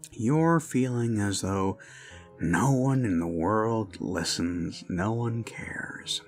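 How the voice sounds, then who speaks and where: Creepy possessive boyfriend, acted by a man for ASMR roleplay: slow, swooping delivery, mock-sincere coaxing that slips into whispers. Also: mid-range voice, a little mellow. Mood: mock-soothing. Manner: theatrical, coaxing, deliberate with pauses, drifting into whispers.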